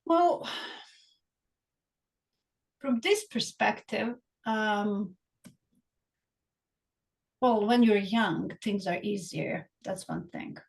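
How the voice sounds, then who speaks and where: Creaky-voiced middle-aged woman. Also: medium pitch, extremely slow, pauses often. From Bosnia, raised in Serbia. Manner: thoughtful, frequent hesitations and restarts. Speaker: psychologist guest. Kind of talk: interview conversation.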